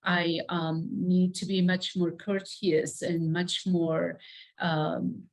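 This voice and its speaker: A woman's voice: lowish voice, flat delivery, slow pace. A middle-aged woman from Iran, a native Persian speaker, talking reflectively as an interview guest, searching for words and often saying "you know".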